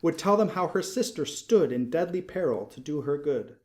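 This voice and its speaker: A man narrates a long poem in a somewhat high-pitched voice. His reading is dramatic and expressive. He sets out each phrase in a measured way, pausing deliberately between lines. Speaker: poetry reader, narrator.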